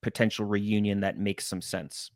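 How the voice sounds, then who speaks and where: A man's voice: fairly low pitch, level tone, normal speed, dry recording, clean studio sound. A man hosting a sports interview, putting questions in a conversational way. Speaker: interviewer, podcast host.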